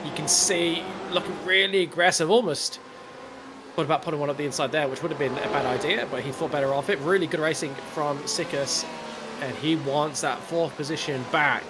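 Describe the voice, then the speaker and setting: An Australian man with a slight cold calls a sim race in measured, explanatory play-by-play. Loud engine roar from the game sits right behind his voice. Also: a little high, noisy background. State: has a cold. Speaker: race commentator. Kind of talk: live sim racing commentary.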